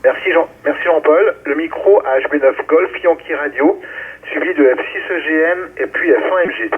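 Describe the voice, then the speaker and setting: Husky man on narrow radio audio. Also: on the high side, normal speed. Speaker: net controller of an amateur radio round-table. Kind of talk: ham radio relay chat with call signs. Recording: phone-like, narrow amateur radio relay audio.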